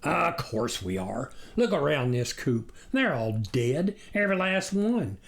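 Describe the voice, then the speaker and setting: A man's voice: fairly high pitch, sing-song, a little slow, creaky, full character voice. A man voicing a gullible, fretful country farmer in a storybook, with rustic, rambling talk. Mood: despairing. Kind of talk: storybook character reading.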